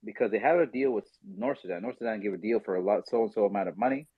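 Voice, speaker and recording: A man hosting a casual podcast discussion, telling stories animatedly and quickly mimicking others in asides. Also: on the low side, animated, husky, no echo, narrow, tinny audio. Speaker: podcast host.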